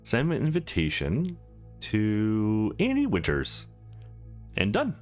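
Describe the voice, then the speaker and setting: A man's voice: fairly low pitch, wildly swooping pitch, slow pace, heavily acted. A man reading the part of an easygoing young male game hero, casual and full of wonder. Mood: pleased. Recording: music behind the voice.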